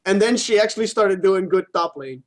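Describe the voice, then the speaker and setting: A man co-hosting a gaming show, chatting casually in a halting way, repeating words and swearing freely. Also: high voice, expressive.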